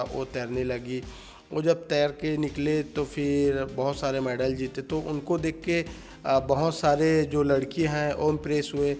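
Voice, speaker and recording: A man's voice: on the high side, a little mellow. A man giving informal, hesitant interview answers as a swimming coach. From village in Chhattisgarh, India.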